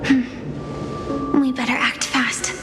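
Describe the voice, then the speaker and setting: An actress playing an earnest, resolute young woman warrior in a video game, soft-spoken and serious. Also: high-pitched, little pitch movement, very slow, heavily acted. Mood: concerned. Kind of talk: video game story dialogue. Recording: echoing long reverb, music behind.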